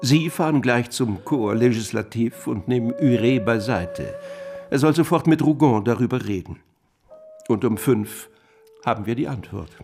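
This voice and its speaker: An actor playing a wealthy, cautious financier in a radio drama, businesslike and instructing. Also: male voice, mid-range voice, moderate pace, a little mellow, faint music behind, close to an everyday voice. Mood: brisk instruction. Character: wealthy, cautious male financier setting conditions.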